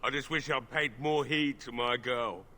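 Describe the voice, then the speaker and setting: An actor playing a despairing, stubborn old village blacksmith in a video game, gruff, weary and drunk. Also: male voice, medium pitch, normal speed, bright, forceful tone, close to an everyday voice. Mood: regretful. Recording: faint background noise.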